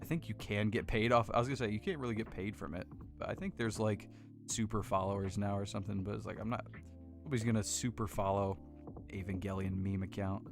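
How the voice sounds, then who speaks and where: An American man with a deep voice chatting casually and rambling a little as he hosts a livestream on a close desk microphone. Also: fairly even intonation, fast, soft background music. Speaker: livestream host building model kits. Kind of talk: casual chat with live viewers. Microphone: close desk condenser microphone.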